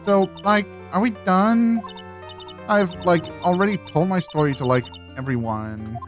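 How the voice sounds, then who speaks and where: A woman's voice: on the low side, measured pace, faint music behind, voice pushed up, natural voice. A performer voicing a ditzy young valley-girl woman who drawls defensively in a video game dub. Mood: sad, weary. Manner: filler-heavy valley-girl drawl, peppered with "like".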